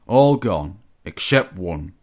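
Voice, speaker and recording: Storybook railway boss, male, authoritative yet sympathetic, expressive pitch, acted by a man. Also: slow pace, full character voice.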